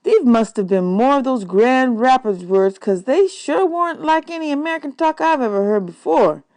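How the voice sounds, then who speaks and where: A woman's voice: high-pitched, animated, normal speed, a little mellow, no echo. A woman reading a children's novel aloud as a storyteller. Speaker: audiobook reader, teacher.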